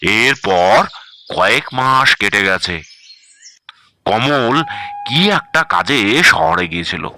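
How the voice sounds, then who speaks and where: A man narrating a scripted horror story dramatically, measured and suspenseful, with pauses between phrases. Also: medium pitch, animated, sharp tone, slight background noise.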